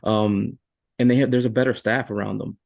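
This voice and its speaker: A man's voice: on the low side, fairly even intonation, a little fast, vocal fry, a little mellow, dry recording, clean studio sound. A man on a sports talk stream, giving casual analysis as a panelist.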